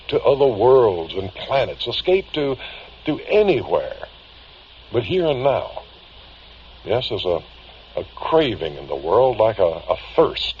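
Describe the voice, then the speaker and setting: An actor playing a grave, worried older man confiding strange cases, speaking haltingly and earnestly in vintage radio drama style on a dull recording. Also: medium pitch, a little mellow, a little hiss or hum. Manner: halting, deliberate, earnest, pausing mid-phrase. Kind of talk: vintage radio drama acting, confessional monologue.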